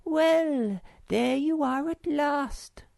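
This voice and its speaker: A vain, sweet young creature girl who talks high and breezy, as a woman reader puts her on for a storybook. The voice sits far above the reader's own, recorded dry and close. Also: very slow, vocal fry, darkish tone, no room sound, heavily acted. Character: vain sweet young female Snork Maiden. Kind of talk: audiobook character voice.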